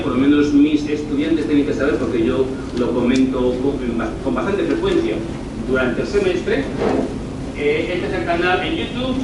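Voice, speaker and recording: A middle-aged man with a northern Spanish accent giving an informal university presentation, deliberate, repeating and rephrasing himself. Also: on the high side. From northern Spain. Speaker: university lecturer. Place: university lecture room, recorded from afar. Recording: very echoey room, distant mic, clear noise.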